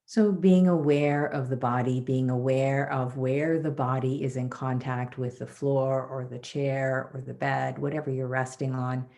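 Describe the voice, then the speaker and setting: Female meditation instructor, very deep register with gravelly fry, soothing and measured delivery for a guided body scan. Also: fairly even intonation, mellow. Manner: soothing, measured, unhurried pauses between phrases. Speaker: meditation teacher leading a class.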